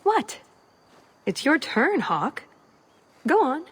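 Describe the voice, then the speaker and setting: A woman's voice: high-pitched, big pitch swings, slow pace, fairly bright tone, full character voice. An actress playing a young elf thief in a video game, wry, sarcastic and restless in her delivery. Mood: wry, exasperated.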